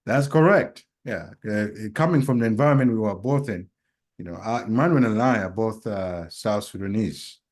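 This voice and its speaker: A man who grew up in Kenya, co-hosting a casual podcast and talking in a reflective, hesitant way full of pauses and fillers. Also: on the low side, animated, a little mellow. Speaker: podcast co-host.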